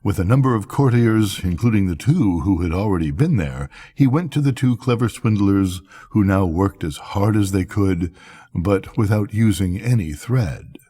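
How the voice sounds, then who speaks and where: A man's voice: fairly low pitch, animated, moderate pace, mellow, slightly rough. An older man narrating a bedtime story as a grandfatherly storyteller.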